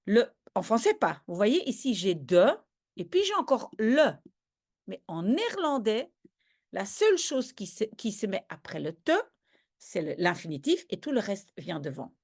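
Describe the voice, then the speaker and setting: A woman's voice: medium pitch, sing-song, unhurried, creaky, a little mellow. A woman teaching a grammar lesson in a patient, step-by-step way, often stopping to check that listeners follow. She is a native Dutch speaker. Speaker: language teacher. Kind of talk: explaining grammar in a video lesson.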